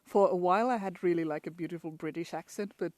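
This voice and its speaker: A woman's voice: fairly low pitch, quick pace, a little mellow, dry recording. A woman from Finland with a Finnish accent, chatting casually outdoors in a pine forest for a travel vlog and laughing often between restarts. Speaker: travel vlogger.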